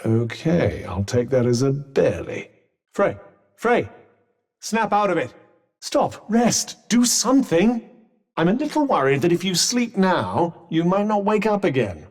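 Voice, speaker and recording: Sardonic talking cuff, husky, animated delivery, game voice lines. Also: male voice, high-pitched, a little slow, exaggerated voice. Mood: wry. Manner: dry, wry and theatrical, with archaic phrasing. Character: sardonic, talkative magical cuff, male mentor. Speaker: voice actor.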